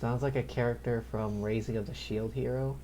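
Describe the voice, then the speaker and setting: A man's voice: mid-range voice, steady tone, a little fast, warm tone, slight background noise. Wry male game streamer, casual. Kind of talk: casual live game commentary.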